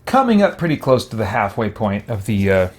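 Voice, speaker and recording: A man's voice: fairly low pitch, sing-song, a little fast, darkish tone, slight background noise. A man hosting a gaming video, rambling casually and thinking aloud as he plays. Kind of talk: casual roleplay game commentary.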